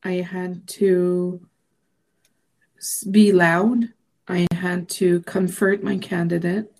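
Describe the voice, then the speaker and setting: A woman from Quebec whose first language is French, co-hosting a political talk podcast and speaking emphatically, her voice rising into high, outraged questions. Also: flat delivery, takes it slowly, a little mellow. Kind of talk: impassioned political chat.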